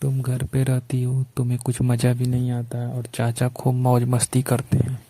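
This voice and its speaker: A man's voice: medium pitch, monotone, normal speed, dark tone, no echo, lightly acted. A man playing a pushy, flirtatious neighbour in a staged phone conversation, coaxing in colloquial speech. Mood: gossiping, goading.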